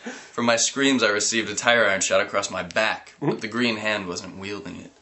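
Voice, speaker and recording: A man reading a comic story aloud, animated, breaking into giggles and sudden yelps. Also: fairly low pitch, moderate pace. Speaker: reader.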